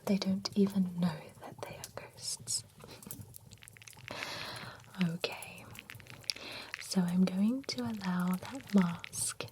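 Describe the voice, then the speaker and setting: A woman whispering as a vampire who runs a spooky beauty spa, in an ASMR roleplay. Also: medium pitch. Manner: soft, unhurried whisper throughout.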